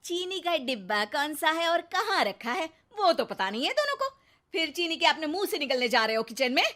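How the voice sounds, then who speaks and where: A woman's voice: high-pitched, big pitch swings, normal speed, pushed and bright, voice pushed up, full character voice. A woman voicing a talkative, nagging middle-aged mother in a cartoon story, exaggerated and complaining. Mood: mocking. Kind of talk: cartoon voice acting.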